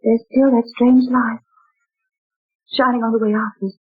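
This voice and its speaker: An actress playing a genteel woman recounting a ghostly night in 1940s radio drama style, theatrical and breathy. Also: a little high, little pitch movement, moderate pace, soft background music. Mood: frightened. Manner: dramatic, exclaiming. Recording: tinny old radio broadcast.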